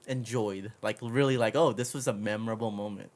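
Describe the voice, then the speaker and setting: A woman's voice: very deep voice, a little fast, darkish tone. An American woman hosting a casual chat interview in an informal, slangy and encouraging way. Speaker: podcast host.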